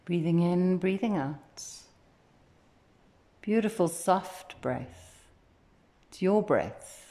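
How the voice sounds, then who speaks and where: Woman doing guided meditation, soothing and unhurried, slightly low voice, roomy echo on it. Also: expressive. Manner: gentle, soothing, unhurried instruction. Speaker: meditation instructor. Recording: echoey, roomy sound.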